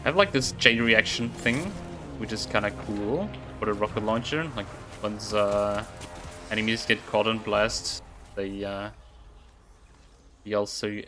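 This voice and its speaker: A man's voice: on the low side, a little slow, over music. A man giving casual, rambling live gameplay commentary as a game streamer, easily impressed by what happens.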